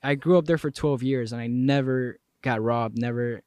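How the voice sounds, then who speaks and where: A man's voice: mid-range voice, moderate pace, a little mellow, dry recording, clean studio sound. A young man from Colombia, a native Spanish speaker, chatting casually as a podcast guest in a laid-back, slangy way. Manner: laid-back slangy storytelling with many fillers.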